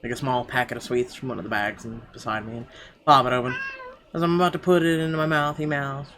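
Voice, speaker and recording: A man's voice: mid-range voice, steady tone, fast, a bit bright. A man narrating a visual novel as a game streamer, reading expressively with small stumbles and asides. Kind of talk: reading visual novel text aloud, let's play. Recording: game music behind the voice.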